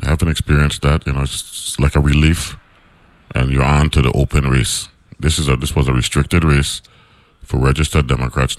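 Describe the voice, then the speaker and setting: A man with a deep baritone voice hosting a morning radio talk show from a studio in the US Virgin Islands, chatty, with lots of fillers and "right?" tags. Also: no echo. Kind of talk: casual radio monologue.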